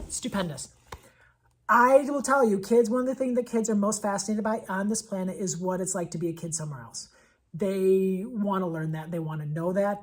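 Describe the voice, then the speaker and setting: A woman with a husky voice talking as an elementary school teacher in a casual vlog, enthusiastic and conversational, leaning on repetition for emphasis. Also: medium pitch. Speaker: elementary school teacher presenting picture books. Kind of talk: casual vlog book recommendations.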